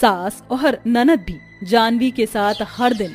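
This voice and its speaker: A woman with a high voice narrates a scripted moral story. She speaks as a storyteller, with music playing behind her. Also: slow.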